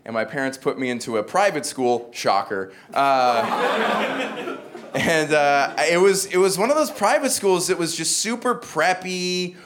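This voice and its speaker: A man's voice: on the high side, lively intonation, measured pace, heavy background noise. A young man from the American South with no regional accent, performing a stand-up comedy set with dry, self-mocking asides. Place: comedy club stage with a live audience. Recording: live club recording with loud audience laughter.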